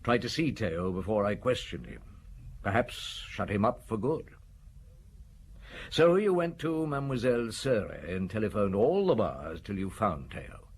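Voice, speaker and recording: A stern middle-aged police inspector, pressing suspects with blunt questions. His voice sits a little low. Faint room hum lies under this radio drama. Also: very animated, moderate pace. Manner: blunt, probing interrogator.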